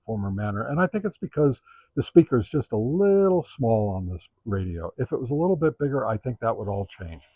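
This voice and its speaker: A man giving a casual, unscripted product review as host, talking in a halting, conversational way as he thinks aloud. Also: medium pitch, darkish tone, no room sound.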